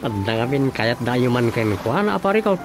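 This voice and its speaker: Radio drama, everyday village man; middle register, clear music bed behind. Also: medium pitch, big pitch swings, a little fast. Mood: concerned. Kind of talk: radio drama acting, Ilocano comedy drama.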